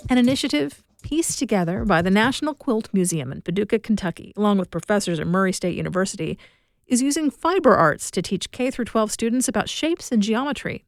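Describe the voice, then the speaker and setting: A woman's voice: medium pitch, expressive, a little fast, no echo, studio quality, soft background music. Woman hosting a scripted news podcast.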